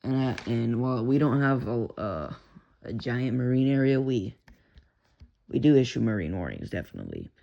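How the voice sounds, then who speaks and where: A man's voice: mid-range voice, big pitch swings, normal speed, gravelly. A man with a Texan accent, a radio hobbyist rambling casually and cursing now and then as he talks about his radios at home. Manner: rambling, profane, self-interrupting. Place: bedroom at home. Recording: lo-fi noisy home recording.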